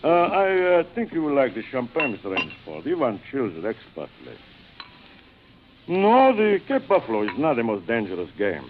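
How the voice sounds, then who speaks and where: A muffled, hissy old radio recording of a proud aristocratic hunter. His delivery swings widely in pitch, courtly and theatrical, savouring every formal phrase. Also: wildly swooping pitch, normal speed. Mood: proud, boastful. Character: suave, proud, aristocratic big-game hunter general, obsessed with hunting. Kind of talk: 1940s radio acting.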